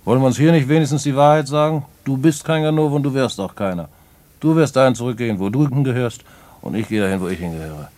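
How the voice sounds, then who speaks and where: An actor playing a streetwise, fatalistic small-time crook in jail, musing in colloquial German radio-play style. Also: male voice, mid-range voice, fast, a little mellow, lightly acted. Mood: firm, resigned.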